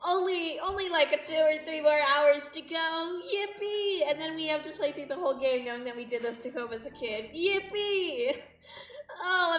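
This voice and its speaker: A woman's voice: high voice, a bit bright. A woman livestreaming a visual novel, chatting and reacting with playful, exaggerated drama. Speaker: game streamer. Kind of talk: livestream chat and read-aloud of a visual novel. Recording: roomy echo, distant mic, music behind.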